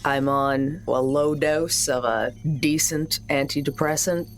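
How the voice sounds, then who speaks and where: A woman's voice: very deep voice, fairly even intonation, slow pace, faint music behind. A woman chatting casually and a little hesitantly as she improvises as a player in a tabletop roleplaying game.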